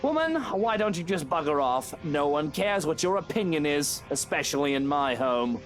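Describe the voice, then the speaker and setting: An actor with a British accent playing an arrogant, foul-mouthed wealthy husband in a video game, sneering and theatrical. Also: male voice, on the high side, big pitch swings, normal speed, slight background noise. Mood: contemptuous. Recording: background music.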